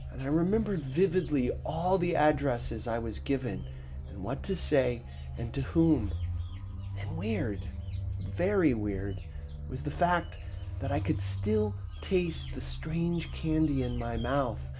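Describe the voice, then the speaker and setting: A man reading a first-person story expressively, with a measured, dramatic delivery. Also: a little high, sing-song, warm tone, faint music behind. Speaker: audiobook narrator.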